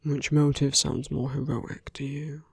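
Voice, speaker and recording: A man playing a suave, persuasive villain in an ASMR roleplay, speaking in measured rhetorical questions and slipping into near-whispers. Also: a little high, little pitch movement, creaky, slightly rough, dry recording, studio quality, heavily acted. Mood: smooth, self-justifying. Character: suave male villain, persuasive, teasing, self-justifying. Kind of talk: ASMR roleplay audio drama.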